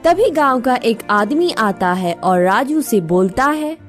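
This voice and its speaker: A woman's voice: on the high side, lively intonation, slow, soft background music. A woman narrating a village cartoon story in a scripted storytelling manner.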